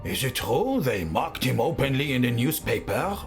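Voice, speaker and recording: A man playing an indignant, bitter war veteran with a gravelly voice in a video game. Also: mid-range voice, big pitch swings, normal speed, music in the background. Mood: disapproving.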